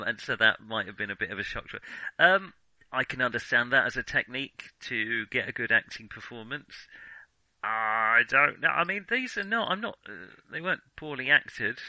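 A man co-hosting a casual film discussion, halting and hedging as he restarts his sentences mid-thought. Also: medium pitch, bright, forceful tone, no echo, rough recording. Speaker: podcast co-host.

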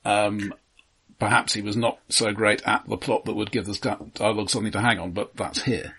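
A man co-hosting a film podcast, who talks in a measured, informative way and adds dry quips. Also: lowish voice, little pitch movement, gravelly, fairly bright tone, husky. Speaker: podcast co-host. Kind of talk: casual film podcast chat.

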